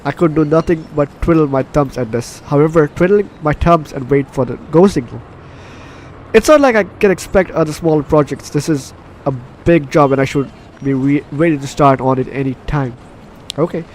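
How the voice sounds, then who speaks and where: A man's voice: fairly high pitch, normal speed, darkish tone, slight background noise. A man hosting a casual let's play, reading game text aloud with commentary and often stumbling over and repeating phrases. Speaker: gaming video host.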